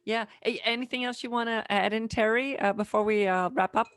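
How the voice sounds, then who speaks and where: A woman's voice: a little high, steady tone, brisk, fairly bright tone, no echo. A middle-aged woman from the United States hosting a podcast in a casual, conversational interview style. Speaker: podcast host.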